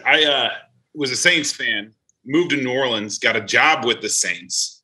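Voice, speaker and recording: A man's voice: mid-range voice, moderate pace, bright, forceful tone. A man from New Jersey with an American accent, telling casual anecdotes as a podcast guest. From New Jersey, United States.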